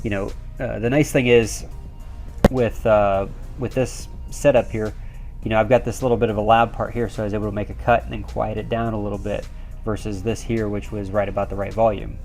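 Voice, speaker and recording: A man's voice: lowish voice, flat delivery, fairly quick, a little mellow, soft background music. A middle-aged man explaining things in a casual, conversational tutorial style, correcting himself as he goes. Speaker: presenter of a how-to video for a motorsports team.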